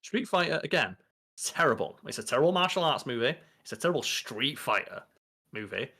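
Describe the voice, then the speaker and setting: A man's voice: medium pitch, sing-song, brisk, vocal fry, bright, forceful tone. Young man chatting, casually sweary. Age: young adult. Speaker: podcast co-host. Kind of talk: casual chat. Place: bedroom, on a video call.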